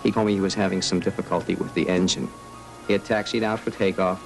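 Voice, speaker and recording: A man's voice: sits low, steady tone, quick pace, vocal fry. A man, a flight instructor, recounting events in an interview. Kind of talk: interview recollection. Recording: loud music behind the voice. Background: music.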